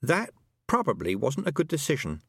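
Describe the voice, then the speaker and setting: A man voicing a sharp, practical young girl in a storybook, with a brisk, blunt manner. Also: medium pitch, wildly swooping pitch, vocal fry, breathy edge, dry recording, studio quality, pitched above the performer's own voice, exaggerated voice. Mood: practical, probing.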